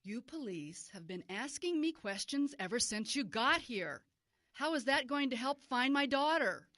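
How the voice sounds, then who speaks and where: A woman's voice: high voice, animated, moderate pace, a bit bright, no echo, studio quality. A woman playing an anxious, indignant mother of a missing child in an audio drama, distressed and demanding. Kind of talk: modern audio drama acting.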